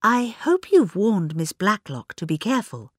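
A woman voicing a gentle, polite and shrewd elderly village lady in a storybook reading. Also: mid-range voice, sing-song, measured pace, no echo, clean studio sound, voice pushed up. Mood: calm, composed.

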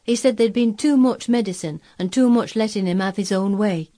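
A woman's voice: medium pitch, moderate pace, dark tone, close to an everyday voice. A woman voicing a fretful, fearful young housemaid with a Yorkshire accent, exclaiming and gossipy, in a storybook reading. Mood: confiding. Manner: exclaiming, dialect-speaking, fretful.

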